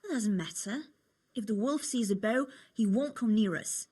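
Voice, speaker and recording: Young medieval tavern lass for a game, cheerful n chatty, bit husky, talks people into stuff. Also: female voice, a little high, moderate pace, dark tone. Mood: coaxing. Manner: chatty, coaxing. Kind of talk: video game cutscene acting.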